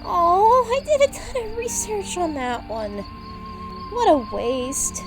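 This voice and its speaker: A woman's voice: very high voice, lively intonation, takes it slowly, heavily acted. A woman playing a studious talking engine in an audio drama, with an earnest storytelling manner. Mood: let down. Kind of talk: audio drama character acting. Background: music, night insects.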